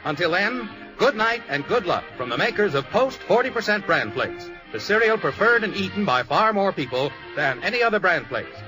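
A man's voice: sits high, very animated, moderate pace, music in the background, poor audio. A man announcing closing credits and sponsor lines in polished 1950s radio style. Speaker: show announcer reading credits.

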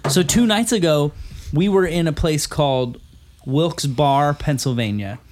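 A man's voice: on the high side, a little slow, background noise. A man co-hosting a podcast in casual chat over beers, rambling through a story and laughing often. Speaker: podcast co-host.

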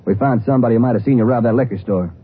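A man's voice: mid-range voice, very fast, close to an everyday voice. An actor playing a firm, plain-spoken lawman sidekick in a 1950s radio drama. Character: firm, loyal male Ranger sidekick. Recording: tinny, noisy old radio recording.

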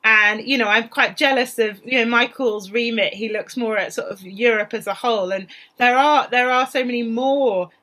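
A woman's voice: on the high side, normal speed, hardly any pauses, pushed and bright. A woman talking as a historian in a panel discussion, thinking aloud and often circling back over her phrases. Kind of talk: conversational expert discussion.

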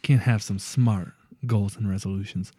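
A young man talks in a relaxed, profane way, co-hosting a casual podcast. His voice is mellow and dark. The sound is dry and close. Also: on the low side, normal speed, slightly rough, no room sound. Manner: loose and profane, laughs often. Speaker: podcast co-host. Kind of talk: casual chat.

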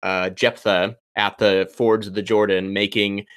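Young guy on a podcast, lowish voice, lots of ums, dry close sound. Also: level tone, measured pace, a bit bright, clean tone, no echo. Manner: thoughtful, many ums and restarts. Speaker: podcast guest, PhD candidate. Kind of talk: casual interview chat.

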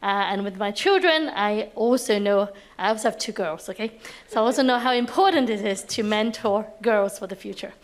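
A woman's voice: medium pitch, brisk. A woman in her forties from Hong Kong, a doctor and professor, introducing herself on a panel in a friendly way. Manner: friendly, laughs lightly.

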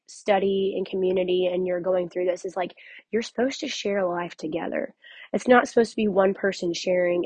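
A young woman, hoarse from losing her voice, hosting a casual podcast in a chatty, candid way with many fillers. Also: lowish voice, no echo. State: hoarse, recovering from lost voice. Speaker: podcast host and author. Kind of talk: casual solo podcast talk.

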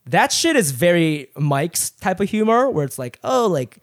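A man's voice: high voice, sing-song, a little slow. A man from Japan who grew up in Ohio, with an American accent, chatting candidly and profanely as a comedian guest on a casual podcast. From Japan, raised in Ohio from age eight. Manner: candid, profane, rambling storyteller.

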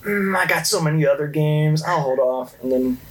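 A man's voice: fairly high pitch, moderate pace, poor audio. A man chatting casually as a podcast guest, telling informal anecdotes peppered with "like". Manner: informal, anecdotal, heavy use of like. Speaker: podcast guest, company employee. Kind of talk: casual chat.

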